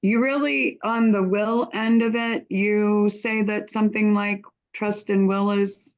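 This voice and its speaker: A woman's voice: medium pitch, steady tone, a little slow. A woman with a scratchy voice, talking casually and a little hesitantly. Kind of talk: casual chat. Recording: dull, muffled audio.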